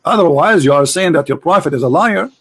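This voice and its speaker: Insistent high-voiced man pressing questions. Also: animated, brisk, a bit bright, slightly rough. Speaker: debate host. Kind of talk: heated debate over a call.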